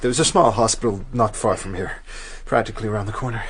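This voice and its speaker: A man's voice: fairly low pitch, fast talker, background noise, lightly acted. An actor playing an anxious, questioning male bystander in a radio drama. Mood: worried. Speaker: actor in supporting part.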